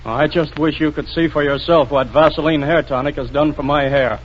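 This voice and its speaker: A man's voice: a little high, fairly even intonation, brisk. A man giving a scripted testimonial in the style of a 1940s radio advertisement. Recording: dull, noisy vintage radio recording.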